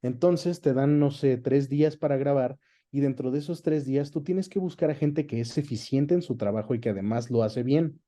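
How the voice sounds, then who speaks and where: Male, Mexican accent, mid-pitched and very mellow. Interview guest, explains colloquially with examples. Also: moderate pace. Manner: explanatory, colloquial, uses examples and frequent tag questions. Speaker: interview guest, dubbing director. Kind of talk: conversational interview.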